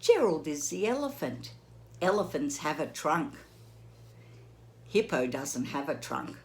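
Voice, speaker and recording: Slow, lively woman reading to kids. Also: sits low. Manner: gentle, slow, asks the listener questions. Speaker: teacher reading to children. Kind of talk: children's read-aloud lesson.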